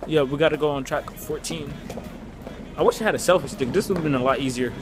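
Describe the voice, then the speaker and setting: A teenage boy with a slightly high voice talks casually to his own handheld camera. He is playful and teasing, like someone vlogging a trip. Music and traffic sounds run behind him. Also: fairly quick. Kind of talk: casual handheld travel vlog chat. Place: on the move, outdoors on streets and aboard transit. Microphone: handheld camera at arm's length.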